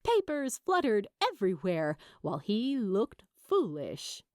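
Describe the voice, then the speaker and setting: A woman reading a fan fiction story aloud as scripted, expressive narration. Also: mid-range voice, unhurried, no room sound, studio quality.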